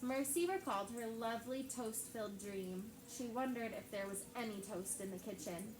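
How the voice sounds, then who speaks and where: A woman teacher reads a picture book aloud to her class, with lively character voices. Her voice sits slightly high. The classroom rings with echo, and background noise runs underneath. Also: moderate pace.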